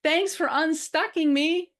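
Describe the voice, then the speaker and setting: Woman voicing a high-pitched, excitable little girl turtle. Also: measured pace. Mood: cheeky. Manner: childlike, excitable. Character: little young female sea turtle, eager and cheeky.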